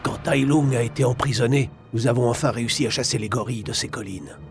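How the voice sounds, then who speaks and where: Guy as a strict old kung fu mentor in a game, gravelly, solemn and bossy, loud music behind him. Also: medium pitch, quick pace, lightly acted. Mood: stern, urgent. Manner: solemn, commanding. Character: stern old male kung-fu master, small wise animal mentor. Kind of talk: dubbed video game acting.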